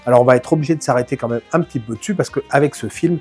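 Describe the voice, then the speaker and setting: A man's voice: medium pitch, rapid-fire, a little mellow, slightly rough, faint music behind. Chatty French film-channel host, a man. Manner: chatty, addresses viewer informally, self-mocking asides. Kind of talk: casual film analysis to camera.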